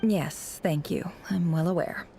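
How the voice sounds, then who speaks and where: A woman's voice: fairly low pitch, measured pace, gravelly, mellow, soft background music. A woman voicing a cool, poised, self-assured character with a dry manner in a video game.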